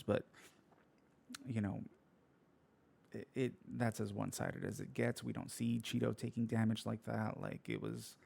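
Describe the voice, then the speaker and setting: A man hosting a casual solo fight recap, with a sleepy, croaky voice, rambling through fillers and restarts and swearing freely. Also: mid-range voice, warm tone. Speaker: podcast host.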